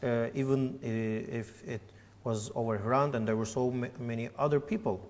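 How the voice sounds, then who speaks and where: Male host, mid pitch, halting questions full of fillers, heavy hall reverb. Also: steady tone, a little mellow. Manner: halting, many fillers and restarts. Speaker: interviewer host. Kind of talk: interview questions.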